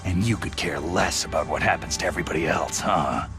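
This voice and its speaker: An actor playing a hardened, gruff male soldier in a video game cutscene, speaking in a clipped, intense way. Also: deep voice, brisk, fairly bright tone, soft background music. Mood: angry.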